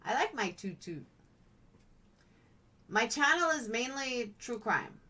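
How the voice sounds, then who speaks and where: A woman's voice: fairly high pitch, big pitch swings, slow, bright, forceful tone, recorded from a distance. A woman live-streaming in a blunt, chatty, playful way, casually answering comments as they come in.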